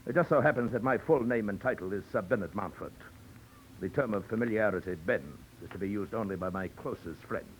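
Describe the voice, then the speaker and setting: An actor playing a pompous, suave big-game hunter villain with florid, mock-courteous speech, in 1940s radio drama style. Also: male voice, on the low side, fairly quick, lightly acted. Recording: noisy old 1940s radio recording.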